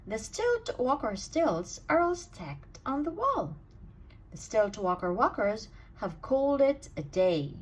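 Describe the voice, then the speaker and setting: A woman reading a rhyming bedtime storybook aloud in an expressive, sing-song way. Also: fairly high pitch, poor audio. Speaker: storybook reader.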